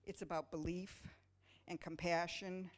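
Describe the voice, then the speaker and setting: A woman's voice: on the low side, flat delivery, measured pace. A woman presenter informally introducing a reader's theater piece to a gathering. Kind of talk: informal spoken introduction to an audience.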